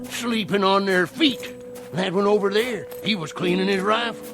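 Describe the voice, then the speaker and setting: A man's voice: high-pitched, normal speed, music in the background. An actor playing a sly, boastful old country man with a folksy Southern American drawl in an audio drama. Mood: gleeful, boasting. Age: older. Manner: folksy drawl, cackling, taunting. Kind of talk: old-time radio-style drama acting.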